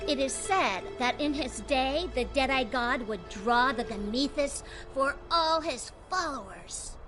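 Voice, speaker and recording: A woman's voice: sits high, animated, a little slow, over music. A woman playing a blind hooded cultist priestess in a video game, ceremonious and grandly pronouncing. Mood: solemn, grand.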